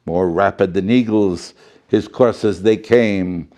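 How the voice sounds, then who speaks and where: A man's voice: deep voice, takes it slowly, warm tone. A man playing a jolly, fussy professor storyteller who reads a children's storybook theatrically, with chatty asides and muddled words. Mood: dramatic, excited.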